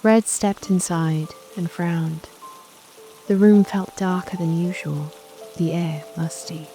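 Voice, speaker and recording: A woman narrating a children's bedtime fairy tale in a gentle scripted storytelling style. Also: on the low side, steady tone, takes it slowly, poor audio. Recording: soft lullaby music and rain behind.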